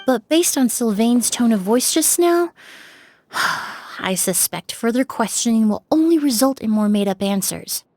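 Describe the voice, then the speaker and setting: Casting: composed forensic cleaner for a game, slightly high voice, measured and analytical. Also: female voice, animated, darkish tone, a little hiss or hum. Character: calm, poised female forensic cleaner and perfumer. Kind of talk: video game voice acting.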